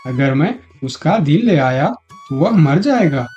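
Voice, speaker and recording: A man's voice: a little high, very animated, a little slow, warm tone. A man playing a meek, devoted crocodile husband in a moral-story cartoon, earnest and pleading in manner. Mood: distressed pleading. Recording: dull lo-fi audio, music behind.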